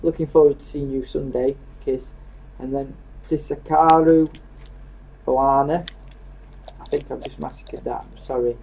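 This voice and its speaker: A man's voice: medium pitch, fairly even intonation, unhurried, creaky, darkish tone, slightly rough. A British man with an offhand, teasing manner, casually reading out viewer comments as co-host of a live stream. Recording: dull lo-fi sound.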